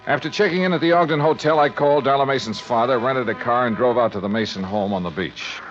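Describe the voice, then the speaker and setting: A man's voice: mid-range voice, fast talker, lightly acted. An actor playing a dry, worldly male insurance investigator in 1950s radio drama, narrating and questioning in a clipped, wry way. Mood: dry, probing. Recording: dull vintage radio recording, music behind.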